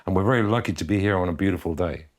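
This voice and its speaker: A man's voice: sits low, racing. Middle-aged guy, chatting easy and reflective about growing up. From Argentina. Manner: easygoing, reflective, chuckles at his own jokes. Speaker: guest musician. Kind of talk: relaxed interview conversation.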